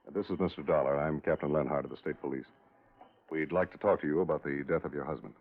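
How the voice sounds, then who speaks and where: Brisk American police captain, deep, rapid, curt, commanding, 1950s radio acting, narrow old recording. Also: male voice. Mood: businesslike. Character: gruff, brisk male state police captain.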